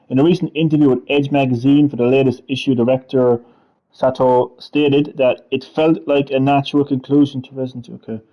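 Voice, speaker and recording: A man hosting a casual gaming stream, rambling, laughing often and reading web text aloud haltingly. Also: medium pitch, dull audio. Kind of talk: casual gameplay chat.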